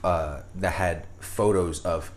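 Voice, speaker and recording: A young man co-hosting a casual podcast, animated, swearing casually and laughing often. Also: low voice, slow. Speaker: podcast host. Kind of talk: casual chat.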